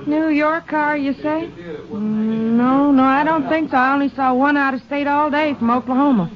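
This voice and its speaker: A woman's voice: high-pitched, moderate pace, lightly acted. An actress playing a friendly, hospitable small-town café woman in a 1950s radio western. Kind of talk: 1950s radio drama acting. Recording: muffled old radio recording.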